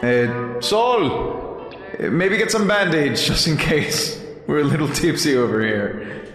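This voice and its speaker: A friendly, tipsy man with a higher, swinging voice, echoing over music. He rambles loosely, in audio drama style. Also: lively intonation, slow pace, a bit bright. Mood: tipsy, helpful. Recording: echoey reverb over music.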